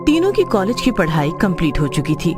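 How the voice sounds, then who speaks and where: A woman's voice: on the low side, very animated, fairly quick, over music. A woman narrator reading a scripted horror story.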